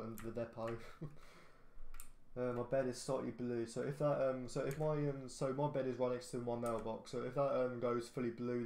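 Man, rambling casual commentary, flat pitch, roomy echo. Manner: rambling, hesitant, lots of fillers and restarts. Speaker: gaming YouTuber. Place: echoey bedroom.

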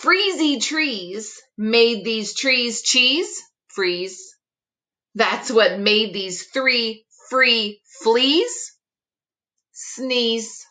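A middle-aged woman teacher reading a rhyming picture book aloud expressively, articulating tongue twisters with deliberate care. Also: fairly high pitch, lively intonation, sharp tone. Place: small room at home, indoors.

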